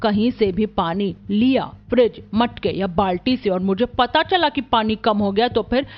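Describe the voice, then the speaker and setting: A woman's voice: medium pitch, normal speed, lo-fi sound, soft background music, natural voice. A woman voicing a cruel middle-aged aunt in a family cartoon, bossy and harsh as she scolds. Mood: scolding, threatening. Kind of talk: Hindi animated family story dialogue.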